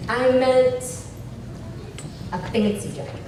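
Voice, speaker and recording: A woman from Tanzania, speaking at a fundraising brunch, shifts from animated joking banter to earnest, reflective personal storytelling. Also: sits high, slow. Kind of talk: personal story told onstage. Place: event hall at a brunch. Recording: echoey hall, far mic, ambient noise.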